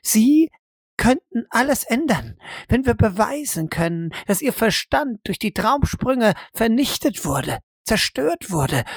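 A woman's voice: fairly high pitch, breathy edge, no echo, studio quality, heavily acted. A woman acting in an audio drama as a stern, insistent interrogator who speaks formally and presses hard. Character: stern, insistent female interrogator probing a prisoner's mind.

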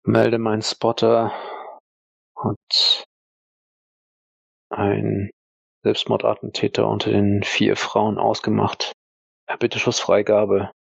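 Man playing a creaky, slow-spoken, dutiful army sniper. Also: on the low side, completely flat delivery, no echo, muffled recording, full character voice. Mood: terse, focused. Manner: halting, formal military address. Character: defensive, dutiful male army sniper, rigidly formal.

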